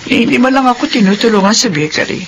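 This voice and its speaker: A woman's voice: fairly high pitch, animated, quick pace, slight background noise, low-quality recording. An actress playing a weary, overburdened Filipino mother of troubled grown children, in an emotional radio drama style. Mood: worried, weary. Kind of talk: Philippine radio drama acting.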